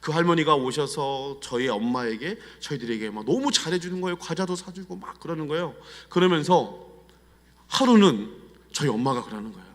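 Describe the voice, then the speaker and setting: A middle-aged Korean pastor with a Chungcheong accent, telling personal stories in a confiding, animated sermon style. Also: male voice, fairly high pitch, brisk. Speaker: guest pastor. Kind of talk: storytelling sermon. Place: church sanctuary. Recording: long church hall reverb.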